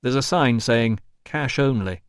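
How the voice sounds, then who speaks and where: A man's voice: mid-range voice, a little slow, clear, resonant tone, no echo, studio quality, lightly acted. A male narrator voicing an earnest, firm man in an audiobook story's dialogue. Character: earnest, firm man trying to calm and explain.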